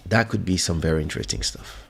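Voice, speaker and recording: A man hosting a casual livestream, thinking aloud in an unhurried way with frequent pauses and muttering, close on a podcast microphone. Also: low voice, big pitch swings, vocal fry, slightly rough, faint music behind. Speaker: livestream host and musician.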